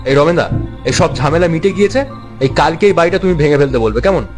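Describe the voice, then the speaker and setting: A man playing a brash, excitable young man who scoffs at ghost tales in a horror story. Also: a little high, fast talker, faint music behind, close to an everyday voice. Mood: dismissive. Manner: excitable, dismissive, questioning. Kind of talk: acted horror story dialogue.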